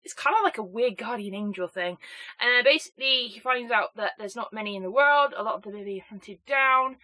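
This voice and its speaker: Bright-voiced woman with a cold. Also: fairly high pitch, fairly quick. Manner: chatty and excitable, rambling with fillers. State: snuffly with a cold. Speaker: anime box reviewer. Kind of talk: casual unboxing review.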